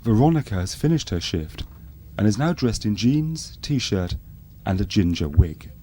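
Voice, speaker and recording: A man playing an earnest, deadpan narrator of a spoof radio docu-soap. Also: fairly low pitch, unhurried, slight background noise. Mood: matter-of-fact.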